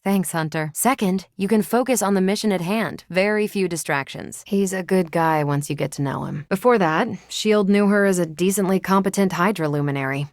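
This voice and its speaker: Actress for video game superheroine, ex-military pilot: American, slightly low register, self-assured, dry teasing wit, very dry recording. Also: female voice, brisk, few pauses, dry recording, lightly acted. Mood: relaxed, teasing. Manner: casual, dry, teasing confidence. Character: confident, wry female superhero and former military pilot. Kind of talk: video game character dialogue.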